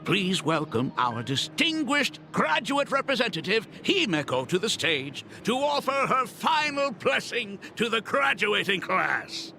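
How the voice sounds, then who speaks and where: An actor playing a gentle, regretful middle-aged father in a video game, speaking earnestly and haltingly. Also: high-pitched, very animated, vocal fry, full character voice. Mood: warm, ceremonial. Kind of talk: dramatic video game voice acting. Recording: music under the voice.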